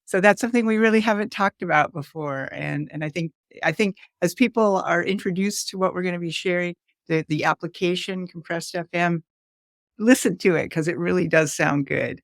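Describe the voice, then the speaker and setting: A woman's voice: on the low side, moderate pace, no echo, studio quality. A woman co-hosting a podcast in casual conversation. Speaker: co-host, curriculum program manager. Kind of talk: casual co-host chat.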